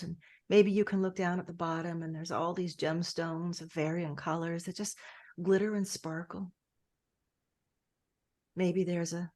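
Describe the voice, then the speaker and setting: Soft-spoken woman guiding a meditation. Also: fairly low pitch. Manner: soft, gentle, drifting delivery with whispered phrases. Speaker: meditation guide. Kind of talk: guided meditation.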